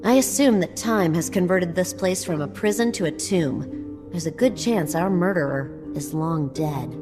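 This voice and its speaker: Actress as a wry scholarly explorer in cavernous reverb. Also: female voice, lowish voice, moderate pace, close to an everyday voice. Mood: analytical. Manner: bookish, wry, explanatory. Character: wry, nerdy female explorer-scholar, quick with dark jokes. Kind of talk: scripted video game dialogue. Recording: long hall reverb, game music behind. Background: game music.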